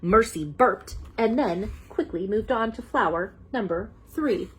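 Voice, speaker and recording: A woman storyteller reading a children's book aloud in an animated style. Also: medium pitch, measured pace, a little hiss or hum, rough recording.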